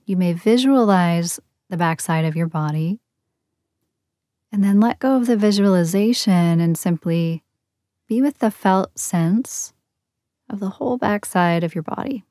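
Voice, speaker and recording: A woman leading a guided meditation in a soothing, gentle, unhurried manner with long pauses between phrases. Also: fairly low pitch, no echo, studio quality. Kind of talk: guided yoga nidra meditation.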